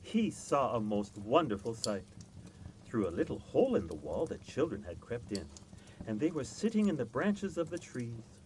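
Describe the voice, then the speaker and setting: A man reading a classic children's story aloud as an expressive scripted narration. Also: mid-range voice, normal speed, a little hiss or hum, poor audio. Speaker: storyteller, reader.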